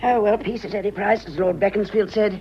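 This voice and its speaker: A woman's voice: medium pitch, fairly even intonation, fairly quick, noisy background, close to an everyday voice. An actress playing a vain, chattering society lady past middle age in a radio period comedy, with a petulant, affected manner. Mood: fussy, petulant. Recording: slightly muffled radio drama recording.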